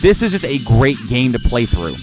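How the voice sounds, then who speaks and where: A man's voice: mid-range voice, sing-song, normal speed. A man giving casual gameplay commentary, rambling and often repeating or correcting himself mid-sentence. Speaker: let's play narrator reviewing gameplay. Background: game music under the voice.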